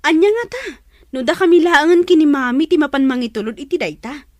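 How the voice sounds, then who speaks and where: Woman acting a high-voiced, nosy younger sister. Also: a little fast, darkish tone, no room sound, studio quality, close to an everyday voice. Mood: disapproving. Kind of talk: Ilocano audio drama acting.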